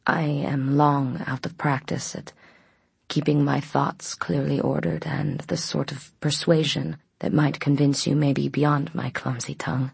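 Brooding vampire man, acted by a woman narrator: courtly, eerie, ornate wording, low husky delivery. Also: fairly even intonation, measured pace, a little mellow, no echo, full character voice. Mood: earnest, pleading. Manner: oddly formal, ornate speech. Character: male vampire, formal, brooding, old-fashioned and eerie.

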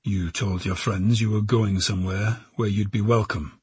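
Man voicing a soft, husky, gentle police detective. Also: fairly low pitch, steady tone, normal speed, no echo. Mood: soft, probing. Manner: soft-spoken, reassuring. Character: calm, gentle male police detective inspector questioning. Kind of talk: audiobook character voice.

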